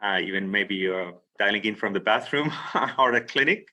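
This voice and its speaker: A man hosting a video call breakout session, relaxed and full of fillers. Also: lowish voice, normal speed. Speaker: session host. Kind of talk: casual video call moderation.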